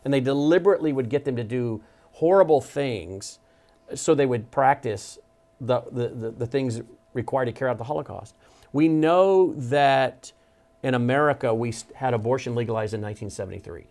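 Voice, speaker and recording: A man's voice: mid-range voice, moderate pace, a little mellow. A man hosting a studio interview show, speaking emphatically and with mounting urgency. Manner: emphatic, urgent, building intensity. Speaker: talk show host. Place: studio desk.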